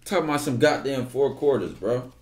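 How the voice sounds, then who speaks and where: A young man streaming from his bedroom on a headset, reading a book aloud with relaxed, slangy asides. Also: medium pitch, a little fast, echoey room. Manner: relaxed, slangy, loud outbursts when joking.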